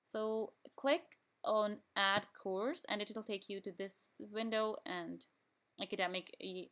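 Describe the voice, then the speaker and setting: A young woman from Pakistan whose native language is Urdu, calmly guiding viewers step by step through an online application form as a student. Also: mid-range voice, a little slow. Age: young adult. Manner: plain step-by-step instructions with frequent restarts. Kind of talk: screen-recorded tutorial walkthrough.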